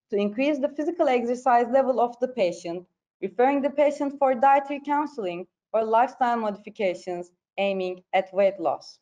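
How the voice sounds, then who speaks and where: A dull, slightly lo-fi recording of a woman with a fairly high voice and a Turkish accent. She reads a scripted academic presentation in a formal, careful manner, like an online lecture over slides. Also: a little mellow. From Turkey. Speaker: presenter, periodontology postgraduate.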